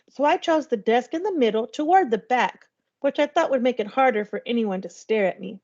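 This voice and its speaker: Higher-voiced woman reading a story aloud. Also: darkish tone, highs cut off. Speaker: teacher reading aloud to class. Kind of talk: storybook read-aloud narration.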